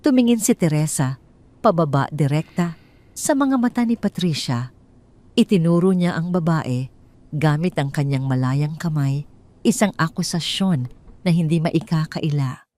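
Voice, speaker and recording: A woman's voice: low-pitched, animated, measured pace, pauses often, dark tone. A woman narrating a scripted story in a dramatic storytelling style.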